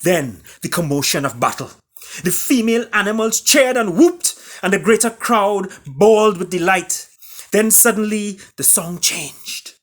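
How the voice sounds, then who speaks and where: A man reading and retelling a fable as its author, with dramatic pauses and emphatic delivery. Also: high voice, lively intonation, fairly bright tone. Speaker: author reading his own book.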